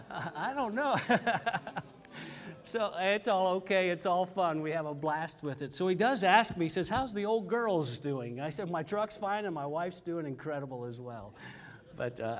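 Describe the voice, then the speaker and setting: A man in his sixties giving a chatty church testimony as a visiting missionary preacher, with lots of fillers and amens. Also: high voice. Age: 60s. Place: church sanctuary.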